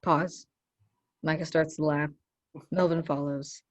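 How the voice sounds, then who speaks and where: Casting: female narrator for a play reading, lowish register, measured delivery of stage directions. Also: steady tone. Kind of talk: scripted narration.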